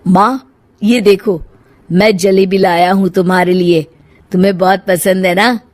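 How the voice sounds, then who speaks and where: Woman voicing a gently coaxing grown stepson. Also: medium pitch, fairly even intonation, a little slow, lightly acted. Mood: eager.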